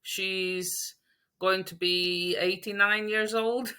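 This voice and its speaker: A woman's voice: medium pitch, fairly even intonation, slow pace, bright, forceful tone, smooth. A woman from Latvia, a native Russian speaker, chatting as an interview guest in English, hesitant and laughing often. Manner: hesitant, many fillers and restarts, laughs often. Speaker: podcast guest. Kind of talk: casual interview chat.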